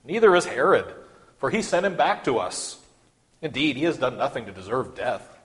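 A man playing a forceful, exasperated Roman governor in a dramatic scripture reading, declaiming in a commanding, emphatic way. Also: medium pitch, sing-song, normal speed, close to an everyday voice. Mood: declaiming, judicial.

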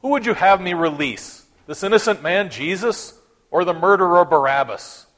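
A man playing a forceful, exasperated Roman governor in a dramatic scripture reading, declaiming in a commanding, emphatic way. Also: high-pitched, animated, normal speed. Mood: declaiming, judicial.

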